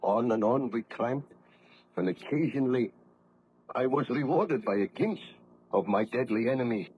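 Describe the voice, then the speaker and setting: An actor playing a tormented, guilt-ridden baron scientist, speaking in a formal, anguished, declamatory way in a 1930s radio horror play. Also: male voice, medium pitch, lively intonation, moderate pace, highs cut off, natural voice. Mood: weary, haunted. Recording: noisy old 1930s radio recording.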